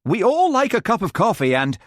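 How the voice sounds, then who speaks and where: A man's voice: sits high, wildly swooping pitch, fairly quick, no room sound, clean studio sound, pitched above the performer's own voice. A man doing a nervous, chirpy instructor. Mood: flustered. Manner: nervously cheerful. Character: nervous, bright male fishing instructor.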